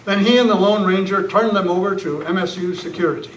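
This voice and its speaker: A man's voice: high-pitched, brisk, lightly acted. A man reading scripted narration for an old-time radio western. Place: club meeting room, live presentation. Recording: echoey, noisy room, distant mic.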